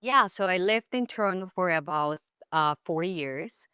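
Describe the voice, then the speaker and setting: A woman's voice: fairly low pitch, animated, unhurried, pushed and bright, clean tone, dry recording, clean studio sound. A Colombian woman whose first language is Spanish, speaking English with a Colombian accent, reflecting as an interview guest with many fillers and restarts. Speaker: guest actress. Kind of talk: casual interview chat.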